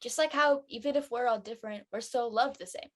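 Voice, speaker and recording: A woman's voice: a little high, brisk, natural voice. A woman playing an earnest, explanatory teenage girl who talks in casual slang in a drama read. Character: earnest churchgoing teenage girl, preachy friend.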